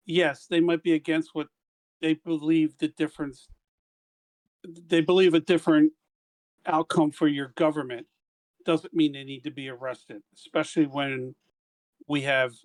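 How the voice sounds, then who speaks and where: A man playing a tabletop game, speaking in character as a squad commander in halting phrases with frequent restarts. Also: on the high side. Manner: halting, restarts mid-sentence. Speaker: player.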